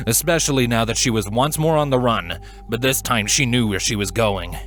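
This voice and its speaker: A man narrating a scripted story in an expressive, dramatic manner. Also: mid-range voice, moderate pace, soft background music. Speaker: fan fiction reader, narrator.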